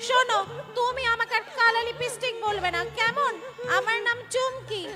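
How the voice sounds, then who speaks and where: Young housemaid applicant played by a woman: extremely high register, sassy and pushy, no patience, play reading over music. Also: fairly even intonation, moderate pace. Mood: brisk, cheeky. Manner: sassy, bossy, impatient. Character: brash young woman job-seeker, cheeky, bossy, impatient.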